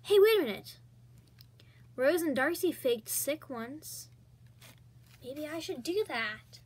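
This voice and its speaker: A woman's voice: high-pitched, slow pace. A woman doing a whiny scheming schoolgirl. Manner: pleading, excitable, theatrical fake coughing. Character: whiny schoolgirl pleading, then faking sick. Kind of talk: comedy skit acting.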